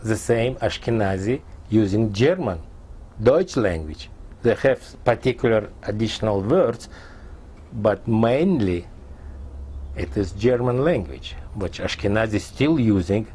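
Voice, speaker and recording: Older man, mellow and low. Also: lively intonation, breathy edge. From Tajikistan. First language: Persian. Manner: halting non-native English in short fragments. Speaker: interviewee. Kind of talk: oral history interview.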